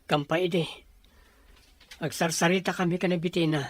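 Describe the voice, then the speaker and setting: An actor playing an anxious, devoted young fiancé, emotional and fretful, in an Ilocano radio drama. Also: male voice, high voice, little pitch movement, moderate pace. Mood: worried.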